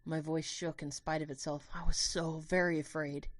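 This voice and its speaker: A woman giving an earnest, expressive first-person narration of a dramatic story. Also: sits low, moderate pace, no echo.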